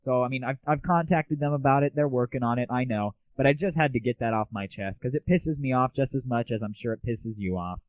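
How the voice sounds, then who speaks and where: A man's voice: mid-range voice, brisk, hardly any pauses, no echo. A man hosting an online gaming show in a casual, irreverent monologue, with a cheeky, slangy and crude way of talking. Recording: tinny, narrowband phone-like audio.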